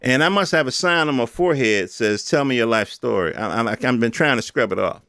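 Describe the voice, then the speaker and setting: A man's voice: medium pitch, lively intonation, brisk, sharp tone, dry recording, studio quality. An American man in his fifties giving a casual, opinionated solo monologue in emphatic, slangy, conversational speech. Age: 50s. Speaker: podcast host.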